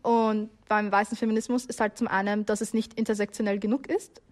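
A woman's voice: medium pitch, little pitch movement, brisk. A young adult woman speaking casually as a radio guest, loose and searching, with lots of filler words. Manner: loose and searching, many fillers like halt and also. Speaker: interview guest. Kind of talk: casual spoken opinion.

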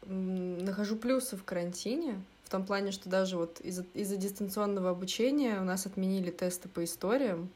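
A woman's voice: mid-range voice, brisk, hardly any pauses, a little mellow. A young woman speaking as a podcast guest in an easygoing, casual way. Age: young adult. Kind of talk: casual chat.

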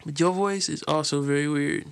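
A man in loose, slangy casual chat with a friend, with a soothing speaking voice that he says turns raspy when he raps. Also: on the high side, fairly quick. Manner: loose slangy banter, teasing.

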